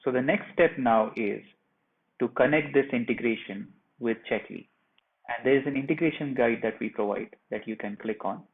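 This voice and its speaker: A man presenting a software tutorial, narrating each step of a screen share in a plain, instructional way. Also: mid-range voice, normal speed. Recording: muffled phone-like narrow band.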